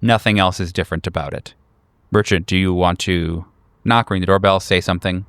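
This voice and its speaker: A man narrating a tabletop horror game as game master, describing scenes with halting pauses mid-sentence. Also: deep voice, fairly even intonation, fairly bright tone, smooth, no echo, studio quality.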